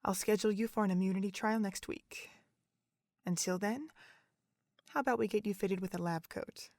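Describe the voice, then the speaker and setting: A woman playing a calm, motherly pony doctor in an audio drama, gentle and deliberate. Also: medium pitch, no echo, clean studio sound, lightly acted. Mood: reassuring, solemn.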